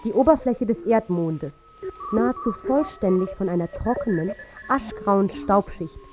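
Actress as reflective female narrator, medium pitch, radio play, music underneath. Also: animated, a little slow, lightly acted. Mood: factual. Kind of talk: scripted radio drama narration.

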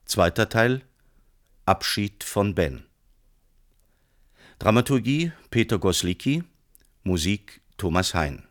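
A man working as a radio announcer, reading scripted credits in a measured, formal manner. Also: on the low side, very animated.

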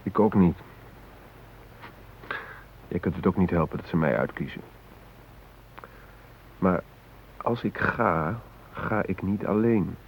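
An actor playing an anxious but resigned hostage in a radio drama, reflective and hesitant, with wry fatalism. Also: male voice, sits low, slow, vocal fry, long pauses, heavily acted. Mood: grave, resigned. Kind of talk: naturalistic radio drama acting. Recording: noisy, narrow-band old radio recording.

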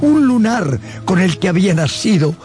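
A man narrating a radio drama in an expressive, scripted storytelling style. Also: high voice, normal speed. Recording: soft music behind.

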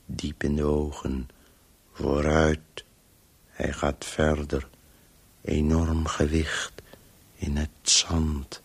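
An actor playing a weary, disembodied Voice in a radio play, obsessively telling a story in halting, clipped, sometimes breathy fragments. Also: male voice, a bass voice, completely flat delivery, full character voice. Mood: grim, labouring.

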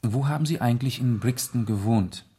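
A mid-pitched gentleman sleuth played by a male actor, calm and shrewd. He questions with deliberate, probing care, weighing his words in the style of 1970s German radio drama. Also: slight background noise, natural voice. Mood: calm, inquisitive.

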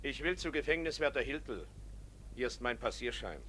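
An actor playing a kindly visiting professor in a radio drama, genial and inquiring. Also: male voice, medium pitch, lively intonation, normal speed, a bit bright, lightly acted. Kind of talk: German radio drama acting.

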